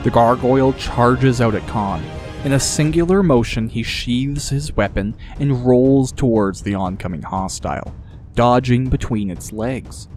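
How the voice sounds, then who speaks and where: A man's voice: medium pitch, a little slow, darkish tone. Male fantasy audiobook narrator over music. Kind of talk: scripted dramatic fantasy narration.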